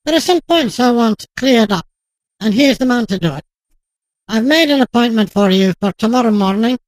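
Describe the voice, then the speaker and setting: A woman acting a stern, sharp-tongued doctor in a radio drama, with a commanding, no-nonsense manner. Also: on the high side, animated, normal speed, no echo, close to an everyday voice, poor audio. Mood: businesslike.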